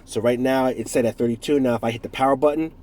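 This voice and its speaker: A man's voice: mid-range voice, fairly even intonation, racing, heavy background noise. A man in his forties from the United States with a stuffed-up nose from a cold, casually reviewing a product in a rambling, self-correcting way with jokey asides. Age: 40s.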